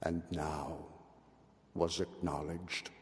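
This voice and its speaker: A man giving a dramatic recitation of a gothic story, theatrical in delivery, moving between hushed and forceful declamation. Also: a bass voice, little pitch movement, takes it slowly. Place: large hall at a public meeting. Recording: live hall with long reverb.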